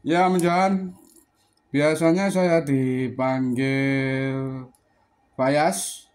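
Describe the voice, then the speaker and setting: A man's voice: a little high, flat delivery, drawn-out words, darkish tone. A polite, chatty man, talking casually as an amateur radio operator. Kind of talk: casual ham radio contact.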